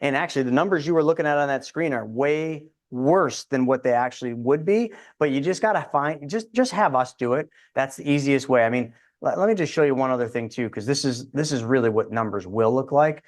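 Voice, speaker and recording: A man speaking as a guest in a casual interview, explaining in a confident, quick way with restarts. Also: mid-range voice. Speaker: insurance advisor guest.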